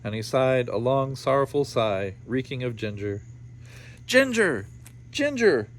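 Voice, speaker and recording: A man reading a storybook aloud with expressive narration. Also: medium pitch, normal speed. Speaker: storybook reader.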